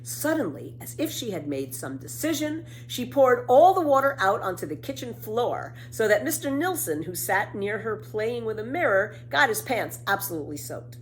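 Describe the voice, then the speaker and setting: Animated woman reading a children's story. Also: fairly high pitch, slight background noise, low-quality recording. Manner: animated, deliberate emphasis. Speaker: storybook reader. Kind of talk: expressive scripted narration of a children's book.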